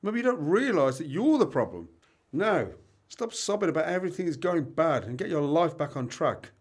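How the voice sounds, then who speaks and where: Animated, blunt actor as a scolding male mate. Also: a little high, moderate pace. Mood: exasperated. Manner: blunt, exasperated, matey. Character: Clive, blunt, scolding but supportive male best mate. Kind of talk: amateur radio play acting.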